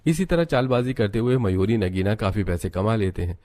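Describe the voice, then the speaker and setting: A man's voice: on the low side, expressive, fairly quick, darkish tone, faint music behind. A man narrating a scripted moral story as its storyteller.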